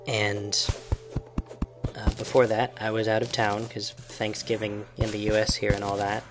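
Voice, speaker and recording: A man, sick and croaky, casually giving a channel update as a gaming host. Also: on the low side, flat delivery, a little slow, over music. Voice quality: creaky. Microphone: desktop microphone.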